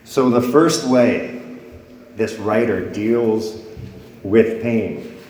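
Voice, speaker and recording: A man's voice: fairly low pitch, drawn-out words, darkish tone, a little hiss or hum. A man preaching a sermon to a congregation, reading scripture dramatically and letting his voice swell and fall. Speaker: pastor. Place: church sanctuary before a congregation. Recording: echoey hall with long reverb.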